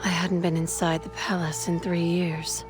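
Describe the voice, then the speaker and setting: Low-voiced samurai warrior woman, played by an actress. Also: flat delivery, moderate pace, over loud music, lightly acted. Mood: grave, resolute. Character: steadfast samurai warrior woman, once imprisoned, recounting her war. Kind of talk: scripted narration and game cutscene dialogue.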